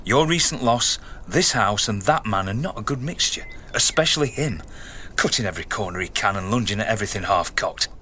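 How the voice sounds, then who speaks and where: An actor playing a concerned, cautioning research colleague in a radio drama, earnest and emphatic. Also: male voice, on the low side, animated, a little fast, hardly any pauses, pushed and bright, a little hiss or hum. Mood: earnest warning.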